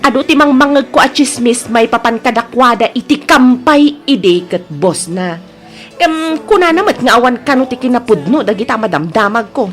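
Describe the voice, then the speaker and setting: A woman's voice: on the high side, animated, normal speed, faint music behind, natural voice. A woman acting a proud, suspicious, jealous wife in an Ilocano audio drama, with a Filipino accent. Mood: guarded, casual.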